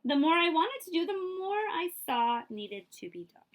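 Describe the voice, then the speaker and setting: A woman reading a children's picture book aloud in an expressive, emphatic storytelling manner, like a librarian at story time. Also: extremely high pitch, moderate pace.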